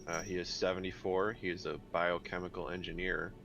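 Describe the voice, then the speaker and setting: A man's voice: sits low, flat delivery, fairly quick, creaky, fairly bright tone. A man speaking casually and hesitantly as a player in a tabletop roleplaying game, on dull audio. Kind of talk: casual character introduction. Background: music.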